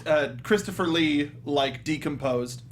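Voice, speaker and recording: A man hosting a casual movie review panel, talking in a halting, jokey way. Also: a little high, a little hiss or hum. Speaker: host.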